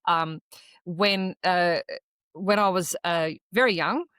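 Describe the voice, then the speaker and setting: A woman, raised speaking Croatian first, talks through her experiences on a podcast in a reflective, earnest tone. Her voice sits a bit low, and she often stops and restarts her sentences. The recording is dry and close, with no room sound. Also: a bit bright, clean tone, dry recording. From Australia. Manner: earnest, reflective personal storytelling with halting restarts. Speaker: podcast co-host. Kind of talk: casual conversational podcast chat.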